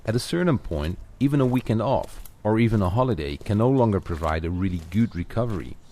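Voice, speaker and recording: Low-pitched man, coaching on a walk. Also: lively intonation, fast talker, darkish tone. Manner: informal, addresses the listener directly. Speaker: coach guiding a walking audio lesson. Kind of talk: scripted conversational audio-walk narration. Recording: outdoor ambience noise with clicks. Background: added outdoor walking ambience, birds.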